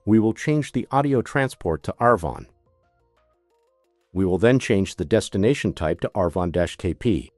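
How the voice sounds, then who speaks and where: A man's voice: on the low side, animated, moderate pace, a little mellow, no echo, soft background music. A man narrating a scripted product tutorial as a demonstrator, giving methodical step-by-step instructions.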